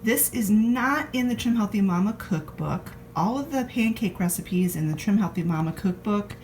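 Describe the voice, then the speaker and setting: A woman's voice: mid-range voice, expressive, normal speed, a little mellow, slight background noise. A congested woman chatting at home in a homey, talkative way as she narrates her food vlog from a recliner. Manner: chatty and homey, talking straight to the camera. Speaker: vlogger.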